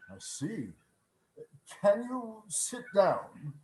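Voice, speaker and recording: Give this voice to a crotchety old miser played by a man in a radio play. He is curt, blustering and skeptical, speaking slowly with big swings of pitch, in a roomy video-call recording. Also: sing-song, a little hiss or hum, full character voice.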